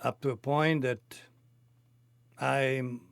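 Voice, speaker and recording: Slow-talking elderly Cuban man. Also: mid-range voice, steady tone, gravelly, smooth, no echo. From Cuba. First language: Spanish. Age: 80s. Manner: halting, non-native phrasing. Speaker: interviewee telling his life story. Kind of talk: reminiscing conversation.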